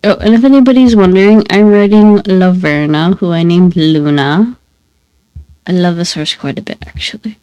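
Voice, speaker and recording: A woman's voice: fairly low pitch, moderate pace, warm tone, clear, resonant tone, no room sound, muffled recording. A woman hosting a gaming video, talking casually to viewers and rambling through asides, her voice stuffy and congested. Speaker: gaming channel host. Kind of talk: casual gameplay commentary.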